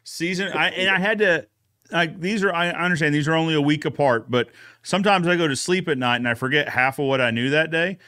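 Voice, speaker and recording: A man's voice: on the high side, fairly quick, bright, forceful tone. A man hosting a casual conversation in an agreeable, jokey way. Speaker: podcast host. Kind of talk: casual chat.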